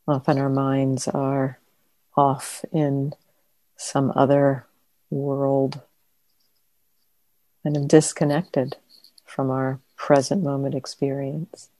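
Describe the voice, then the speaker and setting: A woman guides a meditation in a low, soft-toned voice. She speaks very slowly and gently, leaving long pauses between short phrases. The sound is close and dry. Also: level tone, no room sound, low-quality recording. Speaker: meditation teacher. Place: meditation center.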